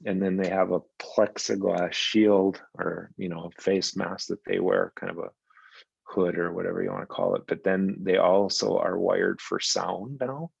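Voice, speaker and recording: A man giving a school principal's report at a parents' meeting, relaxed and rambling with fillers. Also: low-pitched, normal speed, gravelly, slightly rough, no echo, highs cut off. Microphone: video call.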